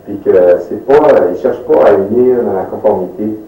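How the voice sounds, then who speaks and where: A man's voice: fairly low pitch, moderate pace, narrow, tinny audio. A man reflecting in an interview, searching for words and repeating himself. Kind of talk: reflective testimony. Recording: roomy echo, distant mic.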